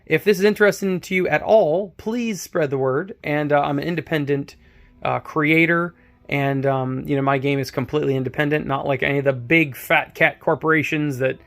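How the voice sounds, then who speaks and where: A man getting over a cold, hoarse, narrating a tabletop wargame battle recap as its independent designer, with plenty of ums and uhs. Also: a little high, moderate pace, a bit bright. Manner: rambling play-by-play with many fillers.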